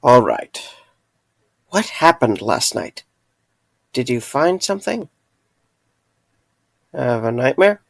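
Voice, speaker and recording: A man playing a gentle, reassuring former night worker in an amateur audio drama, speaking with a hesitant, stammering delivery. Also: medium pitch, very animated, slow, gravelly, long pauses, dry recording, clean studio sound, heavily acted.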